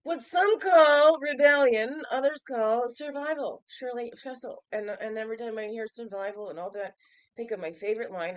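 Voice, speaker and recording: A woman's voice: mid-range voice, dry recording, poor audio. Stuffy-nosed woman in her fifties chatting. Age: 50s. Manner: playful, chatty, asks the listener questions. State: stuffy and nasal from a cold. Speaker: host of a daily reflection show. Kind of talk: casual reflective vlog talk.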